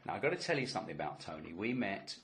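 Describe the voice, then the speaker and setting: A man with a very low voice interviews a musician for radio. He is chatty and enthusiastic, with jokes mixed in. Faint background noise sits behind him. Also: very animated, rapid-fire, fairly bright tone. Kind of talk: casual interview chat.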